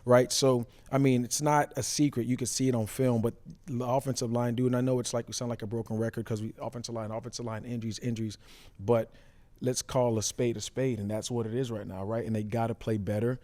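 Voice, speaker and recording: A man's voice: mid-range voice, little pitch movement, fairly quick, dark tone. A man, a former player turned analyst, talking football casually, repeating points and checking in with tag questions. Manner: repetitive, tags points with "right?".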